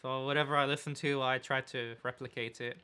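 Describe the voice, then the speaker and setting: Bright-voiced young London man chatting. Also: medium pitch, fairly quick, smooth. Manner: relaxed, chatty, searches for words. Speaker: photographer and vlogger, co-host. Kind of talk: casual Q&A chat with partner.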